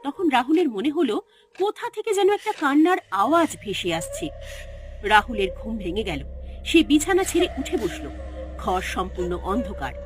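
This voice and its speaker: A woman narrates a scripted ghost story over music. Her voice sits fairly high and swings widely as she builds suspense in a dramatic storytelling style. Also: big pitch swings, normal speed. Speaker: narrator of an animated horror story. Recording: music behind the voice.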